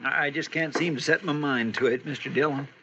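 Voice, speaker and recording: An actor playing a loyal, fretful deputy in a radio western, with a rural American drawl and a hesitant, polite, folksy manner. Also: male voice, a little high, steady tone, a little fast, lightly acted. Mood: anxious, fretful. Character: loyal, fretful, deferential male deputy sidekick. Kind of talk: old-time radio western acting. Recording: dull old radio recording, faint noise.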